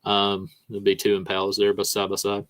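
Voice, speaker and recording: A man's voice: on the low side, completely flat delivery, fairly quick, a bit bright, dry recording. Joking male podcast host, dry sound. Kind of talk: casual chat.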